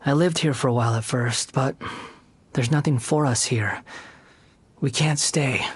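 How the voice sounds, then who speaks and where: An actor voicing a gentle, melancholy, innocent young male puppet in a video game, in a soft voice. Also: medium pitch, measured pace, noisy background, natural voice. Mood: sad.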